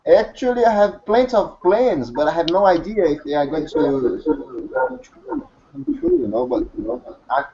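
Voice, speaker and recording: A man's voice: big pitch swings, measured pace, warm tone. A man speaking English as a second language on a video call, hesitant and rambling. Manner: hesitant, rambling, with sudden pitch jumps. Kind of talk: casual conversation. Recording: dull lo-fi video call audio with faint noise.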